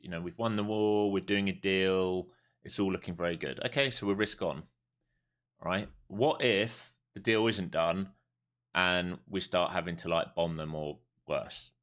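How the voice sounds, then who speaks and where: A man's voice: sits low, steady tone, a little slow, a bit bright. A man, chatting casually and bluntly while trading live on stream. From Europe. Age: 40s. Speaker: livestream day trader. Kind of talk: live market commentary and chat.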